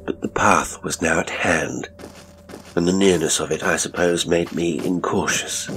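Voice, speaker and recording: Male horror narrator, very low register, grave and suspenseful delivery, faint ambient noise under the read. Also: steady tone, fairly bright tone, poor audio. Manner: suspenseful, deliberate phrasing, grave and theatrical. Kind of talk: dramatic audiobook narration of classic horror.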